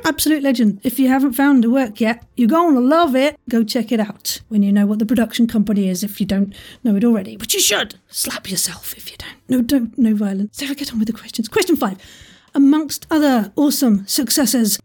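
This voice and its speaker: A woman hosts a casual audio quiz in a high-pitched, soft-edged mellow voice with a husky grain. She reads out questions, then wanders into jokey asides and cuts herself off. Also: fairly quick. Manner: jokey, rambling, self-interrupting asides. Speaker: podcast host and quizmaster. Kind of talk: informal chatty quiz hosting.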